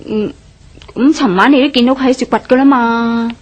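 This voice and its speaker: A woman's voice: a little high, brisk, natural voice. A woman playing a pushy, poor companion in a radio drama, exclaiming and goading in Hong Kong Cantonese. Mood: urging.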